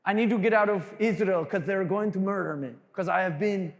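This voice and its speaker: High-voiced man coaching a crowd in a hall. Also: fairly quick. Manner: animated, jokey, quizzes the crowd. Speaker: sales trainer speaking to a room. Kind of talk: energetic seminar talk to a live audience. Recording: long hall reverb.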